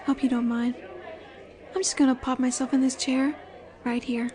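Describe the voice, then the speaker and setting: A woman's voice: high voice, level tone, a little mellow, lightly acted. A woman playing a confident, flirtatious werewolf alpha in a roleplay audio drama, teasing and seductive in her delivery. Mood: playful, flirty. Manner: teasing, seductive, slow and assured. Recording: bar chatter behind the voice. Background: bar ambience with crowd murmur.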